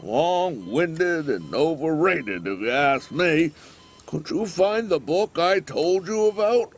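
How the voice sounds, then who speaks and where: An actor playing a grumpy, sardonic old American bookshop owner complaining from a hospital bed in an audio drama. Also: male voice, a little high, animated, slow pace, soft background music, exaggerated voice. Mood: crotchety, sardonic. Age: older.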